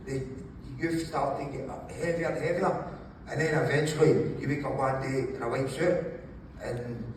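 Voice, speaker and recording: A man, telling stories casually in a jokey, sweary way as an interview guest. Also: mid-range voice. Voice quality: gravelly. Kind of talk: animated casual storytelling. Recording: very echoey room, distant mic, faint noise.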